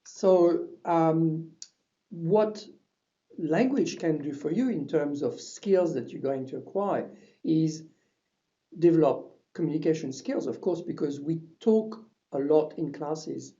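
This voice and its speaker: A man's voice: a little high, slow pace, warm tone, highs cut off. A man with a French accent, a university French lecturer giving a webinar presentation, talking with frequent hesitations and restarts. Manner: hesitant, many restarts and fillers.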